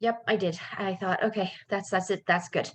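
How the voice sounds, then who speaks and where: A woman's voice: medium pitch, fairly even intonation, moderate pace. A middle-aged woman who was born in Canada and raised in France, a life coach giving a casual live webinar in a chatty, upbeat way with lots of fillers and asides.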